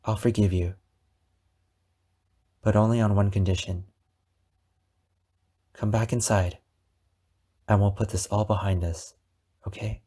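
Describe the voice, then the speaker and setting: Obsessive lovesick male captor for ASMR roleplay, played by a man: lowish pitch, very slow delivery, wounded and menacing. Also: steady tone, mellow, breathy edge. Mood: calm, coaxing. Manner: slow, deliberate, dramatic pauses. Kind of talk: ASMR roleplay monologue addressed to the listener.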